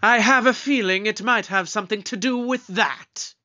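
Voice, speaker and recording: A man's voice: high-pitched, sharp tone. Man playing a breezy time-traveling doctor. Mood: urgent. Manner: light, offhand, drawn-out delivery. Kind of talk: fan audio drama acting.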